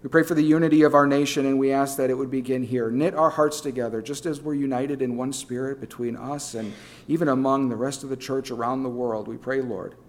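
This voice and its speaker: A pastor leading a congregation in earnest prayer and then giving church announcements. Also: male voice, mid-range voice, fairly even intonation. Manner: earnest, measured praying.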